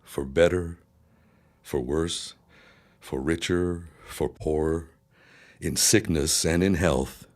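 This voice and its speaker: Very deep, slow, solemn bridegroom. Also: male voice, fairly even intonation. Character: solemn, deep-voiced bridegroom reciting vows. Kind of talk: period audio drama acting.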